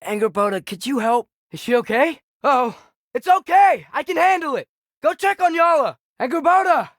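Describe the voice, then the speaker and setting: A man's voice: high voice, no room sound, clean studio sound, heavily acted. A young male actor playing an earnest, curious, impulsive teenage boy in a Norse myth video game, speaking naturally with a hesitant, boyish manner. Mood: alarmed, urgent.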